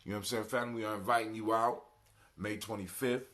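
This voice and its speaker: A man's voice: fairly low pitch, fairly even intonation, fast talker, fairly bright tone. A middle-aged man hosting a live internet radio show in a casual, folksy, conversational way, repeating phrases for emphasis. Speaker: podcast host.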